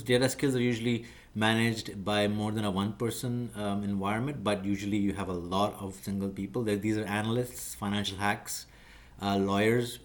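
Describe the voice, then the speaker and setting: Low-pitched man, Urdu first language, measured lecturing delivery with frequent fillers. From Pakistan. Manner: measured, lecturing, many fillers and self-corrections. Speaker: video creator explaining job skills. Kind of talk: unscripted talking-head explainer.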